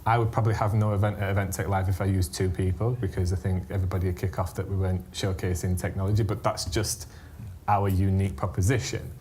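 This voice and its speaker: A man's voice: deep voice, level tone, fast, darkish tone, slight background noise. A man with a British accent who moderates a panel of event organisers, speaking casually and restarting his sentences. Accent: British English. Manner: casual, hesitant, repeats words. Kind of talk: panel discussion. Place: event venue in central London.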